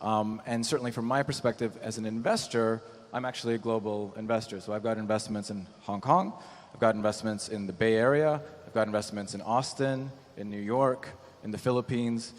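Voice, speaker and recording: A man speaking as an angel investor on a conference panel, explaining his points in a measured way with frequent "you know". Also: fairly low pitch, steady tone. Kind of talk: panel discussion, explanatory talk. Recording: echoey hall with long reverb.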